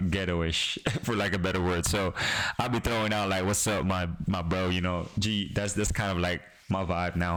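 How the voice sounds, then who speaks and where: A young man from the Caribbean, a native Creole speaker, chatting casually with his stream while he codes, rambling and pausing a lot as he restarts his sentences. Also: lowish voice, a bit bright, low-quality recording. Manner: rambling, pauses and restarts, talks to chat. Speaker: streaming developer. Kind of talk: casual live-stream coding chat.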